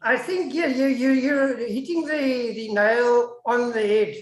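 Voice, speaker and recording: A woman's voice: fairly high pitch, slow pace. A South African woman whose first language is Afrikaans, giving an emphatic webinar lecture over an online call as a guest academic. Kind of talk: webinar lecture with audience questions. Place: indoors, online video call. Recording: echoey room on online call.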